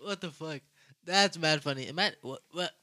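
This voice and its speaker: Teasing young man, bright voice. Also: on the high side, normal speed. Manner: joking, teasing, slangy. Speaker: podcast co-host. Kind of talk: casual chat.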